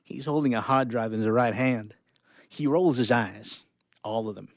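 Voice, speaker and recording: A man performing a live reading of short stories, with expressive delivery and casual asides. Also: medium pitch, moderate pace, vocal fry, no echo, clean studio sound.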